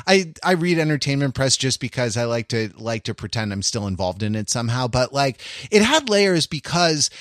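An American man talks pop culture as a podcast panelist. His voice sits at a medium pitch, and he wanders through digressions, leaving thoughts half finished. The sound is very dry, with no room on it. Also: big pitch swings, moderate pace, hardly any pauses, fairly bright tone, no room sound. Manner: digressive, discursive, trailing off mid-thought. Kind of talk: casual pop culture discussion.

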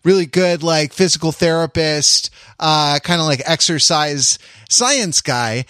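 A man's voice: a little high, measured pace, bright, forceful tone, no echo, clean studio sound. A man in his forties chatting casually as a podcast host, rambling and often interrupting and repeating himself. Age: 40s. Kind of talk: casual chat.

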